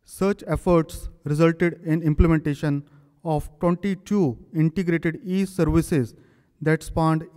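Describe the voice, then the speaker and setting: A man's voice: a little high, dark tone, clean tone. A man hosting a technical talk, formally reading out the guest speaker's credentials in short phrases at a podium microphone. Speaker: event host introducing the speaker. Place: large conference hall. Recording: long hall reverb.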